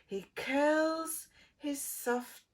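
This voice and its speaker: A woman reading a children's picture book aloud as an expressive preschool storyteller, theatrical, drawing out words and dropping into soft, hushed phrases. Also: sits high, drawn-out words.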